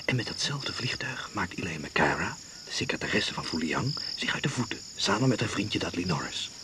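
An actor playing a sharp amateur sleuth in a radio thriller, brisk and conspiratorial. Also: male voice, deep voice, very animated, noisy background. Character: sharp, urgent male amateur sleuth in the jungle. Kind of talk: radio drama acting.

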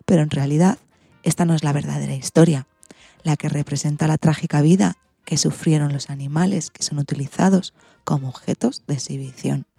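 A woman's voice: low voice, steady tone, fairly quick, gravelly, mellow, slightly rough, no room sound, faint music behind. A woman with a Castilian accent from Spain, presenting a scripted radio segment as host.

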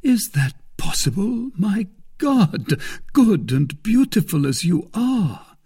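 A man's voice: medium pitch, very animated, slow, mellow, breathy edge, no echo, clean studio sound, voice pushed up, full character voice. A man playing a gallant, wily young swordsman in love, with fervent, courtly declamation in an audiobook of a classic novel. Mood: eager, flattering.

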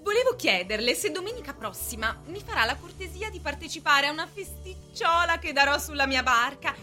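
A woman's voice: high voice, lively intonation, brisk, bright, forceful tone, soft background music. A woman playing a breezy, pushy deputy bank director in a radio play, with an insistent, theatrical delivery. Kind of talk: radio drama stage acting.

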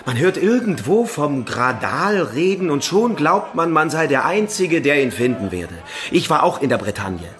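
An actor playing a proud, jealous seeker of a holy relic in a radio drama. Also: male voice, fairly high pitch, big pitch swings, moderate pace, hardly any pauses, a bit bright, soft background music. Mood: scornful, indignant.

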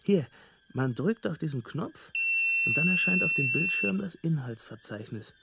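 An actor playing a laid-back, knowing alien hitchhiker in a German radio drama, casual, matter-of-fact and amused. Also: male voice, fairly high pitch, animated, moderate pace, gravelly, heavy background noise. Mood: breezy, explaining.

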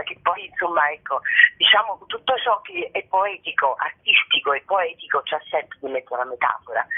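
A woman speaking haltingly as a guest in a spontaneous radio interview, searching for her words with drawn-out pauses. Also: lowish voice, husky. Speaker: playwright and actress, interview guest. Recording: narrow, tinny telephone line.

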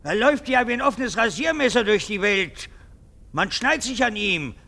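An actor playing a pompous, sentimental and anxious army captain in a theatrical German radio drama. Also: male voice, high-pitched, lively intonation, normal speed, vocal fry, sharp tone, full character voice. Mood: loud mockery. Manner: theatrical, swinging from exclamation to insinuating murmur.

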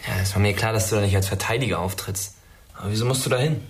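A man's voice: lowish voice, fast, close to an everyday voice. A man playing a sarcastic, jumpy teenage schoolboy in a radio drama, mocking and stammering under stress. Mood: dry. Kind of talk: naturalistic radio drama acting.

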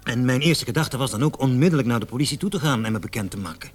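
A man's voice: medium pitch, animated, very fast, husky. A man acting in a radio drama as a nervous, evasive salesman under questioning, stammering and repeating himself. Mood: apologetic, pleading. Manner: stammering, flustered, repeats words. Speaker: suspect under police questioning. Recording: noisy old radio play recording.

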